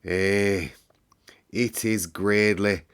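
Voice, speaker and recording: A man's voice: lowish voice, steady tone, extremely slow, clean tone. Man putting on a wondering Yorkshire country boy. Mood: awed wonder. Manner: broad dialect, earnest. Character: gentle twelve-year-old Yorkshire country boy, full of wonder.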